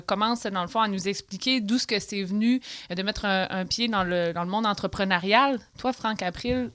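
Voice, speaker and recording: A woman's voice: mid-range voice, fairly bright tone, no room sound. Québécois woman, podcast host, casual and conversational, plenty of fillers. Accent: Québécois French. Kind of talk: casual interview chat. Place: podcast studio.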